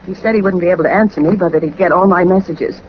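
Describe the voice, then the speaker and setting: A woman's voice: fairly low pitch, very fast, lightly acted. Woman acting a frightened lady, muffled old radio. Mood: anxious, earnest. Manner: polite, anxious, urgent. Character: frightened, pleading young woman in danger. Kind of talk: 1940s radio drama acting. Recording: dull, noisy old 1940s radio recording.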